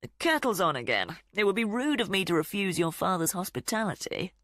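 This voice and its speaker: A woman voicing a calm, menacing male villain who speaks with mocking politeness in an audiobook. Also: mid-range voice, fairly quick, no room sound, clean studio sound. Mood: taunting.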